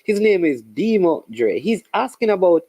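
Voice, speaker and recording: A man hosting a casual interview, friendly and halting, often repeating words. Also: high voice, big pitch swings, dark tone, dry recording. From Jamaica. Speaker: host.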